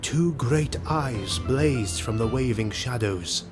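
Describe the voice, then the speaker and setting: A man narrating a fantasy audio drama in a measured, suspenseful scripted style. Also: medium pitch, lively intonation. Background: music.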